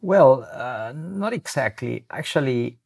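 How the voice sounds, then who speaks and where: Gravelly man answering haltingly. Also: medium pitch, lively intonation, a little mellow. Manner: halting, with repeated words. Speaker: nature photographer, interview guest. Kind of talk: interview answer.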